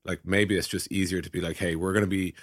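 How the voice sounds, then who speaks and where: A man's voice: sits low, flat delivery, fast talker, no room sound, clean studio sound. A man in a casual tech discussion, hosting and telling points through imagined quotes. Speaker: podcast host and tech executive.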